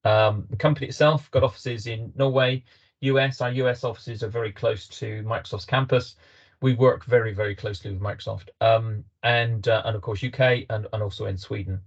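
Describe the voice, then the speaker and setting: British male webinar host, halting delivery full of fillers, muffled call audio. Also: lowish voice, steady tone. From Britain. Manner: hesitant, many ums and restarts. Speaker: webinar host, company representative. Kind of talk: business webinar presentation.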